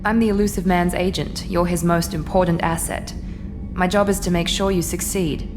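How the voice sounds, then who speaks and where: A woman's voice: fairly low pitch, steady tone, moderate pace, over music, lightly acted. A woman playing a poised, businesslike, coolly loyal agent in a video game, speaking formally and precisely. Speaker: secret agent and ship's officer. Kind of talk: video game character dialogue.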